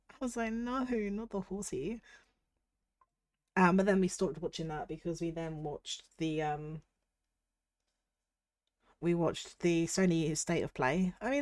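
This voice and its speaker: Husky British woman with a cold. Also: low voice, no room sound. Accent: British English. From United Kingdom. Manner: chatty and rambling, reads chat aloud. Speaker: VTuber streamer. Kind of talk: casual livestream chat.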